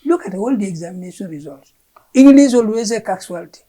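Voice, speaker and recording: A woman's voice: mid-range voice, animated, fairly quick, mellow. A Gambian woman whose first language is Fula, a curriculum official explaining education policy in an interview in an emphatic manner, repeating words as she searches.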